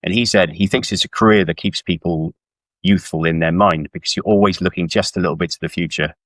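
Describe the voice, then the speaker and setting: Middle-aged male author from South Wales, notably low register, informal interview guest, very little room sound. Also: fairly even intonation, fast, fairly bright tone, dry recording. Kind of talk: casual conversational interview. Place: indoors at home on a video call.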